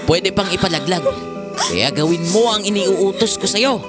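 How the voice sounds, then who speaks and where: An actor with a Filipino accent playing a harsh, quick-tempered husband and father in an audio drama, gruff and scolding. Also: high voice, natural voice. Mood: angry. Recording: music bed under the voice.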